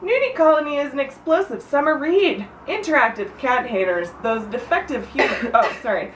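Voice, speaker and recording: Woman, high voice, giggly informal read-aloud, roomy sound from a distant mic. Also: animated, normal speed, a little hiss or hum. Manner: giggly, often breaking into laughter. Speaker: reader of a birthday story. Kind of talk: reading aloud informally to friends.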